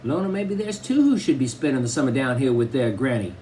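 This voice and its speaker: A man's voice: medium pitch, sing-song, a little fast, mellow, a little hiss or hum, natural voice. A man reading aloud and voicing a tiny, doting but bossy old Southern grandmother in a folksy drawl.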